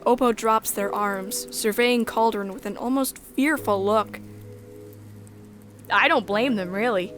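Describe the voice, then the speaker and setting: A woman narrating a sci-fi visual novel in the first person, measured and descriptive. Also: on the high side, fairly bright tone, soft background music.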